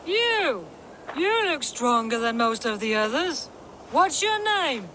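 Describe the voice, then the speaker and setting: An actress playing a gruff, bossy Norse camp overseer in a video game, curt and scornful as she gives orders. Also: high voice, big pitch swings, slow pace, pushed and bright, a little hiss or hum, heavily acted.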